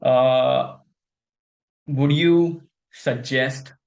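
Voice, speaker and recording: A man's voice: mid-range voice, fairly even intonation, extremely slow, creaky, a little mellow, lo-fi sound. Rambling young man hosting an interview. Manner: rambling, loose grammar, frequent restarts and fillers. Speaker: interview host. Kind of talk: casual interview.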